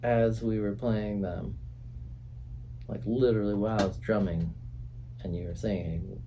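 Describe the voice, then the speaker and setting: A man with a fairly low, warm, dark voice talks in a relaxed, searching way, full of pauses and fillers. He is a producer and drummer recording in a studio. Faint ambient noise sits under the voice. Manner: reflective, halting, many fillers. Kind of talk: casual conversation.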